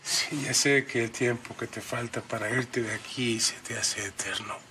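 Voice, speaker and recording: An actor playing a brooding, proud husband in a telenovela, speaking with a Mexican accent in a measured, intense way. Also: male voice, medium pitch, fairly even intonation, noisy background, natural voice. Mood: wounded, proud. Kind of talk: telenovela dramatic acting.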